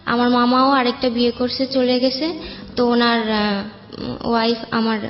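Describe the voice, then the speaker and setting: Higher-pitched Bangladeshi woman, echoey recording. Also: little pitch movement, unhurried. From Bangladesh, raised in Dhaka. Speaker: guest telling life story. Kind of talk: personal storytelling in an interview. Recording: muffled, echoey room with music bed.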